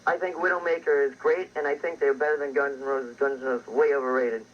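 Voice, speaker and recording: A man's voice: mid-range voice, fairly even intonation, fast. A man calling in to a radio show, eager and pushy to have his say. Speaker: caller. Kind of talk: phone call to a radio show. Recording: dull phone line on radio.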